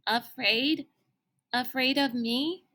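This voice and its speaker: A man's voice: very high-pitched, takes it slowly, pushed and bright, pitched above the performer's own voice, heavily acted. A woman voicing an anxious, sensitive young boy in a storybook, nervous and hesitant. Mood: disbelieving.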